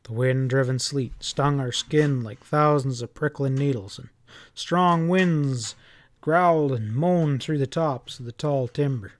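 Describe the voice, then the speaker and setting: A man's voice: mid-range voice, measured pace. A man reading a scripted story as an audiobook narrator.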